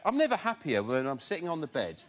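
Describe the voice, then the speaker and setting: A man's voice: a little high, wildly swooping pitch, fast talker. A man in his fifties, a chat show guest, telling rambling, dry, self-mocking stories. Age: 50s. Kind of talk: rambling casual storytelling. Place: TV studio with live audience. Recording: loud studio audience, heavy noise.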